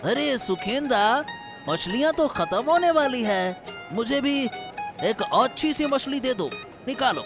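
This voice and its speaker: A man voicing an impatient, demanding customer at a village fish market in a cartoon, with a creaky voice. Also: very high voice, expressive, a little slow, music in the background. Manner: demanding, repetitive. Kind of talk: cartoon story acting.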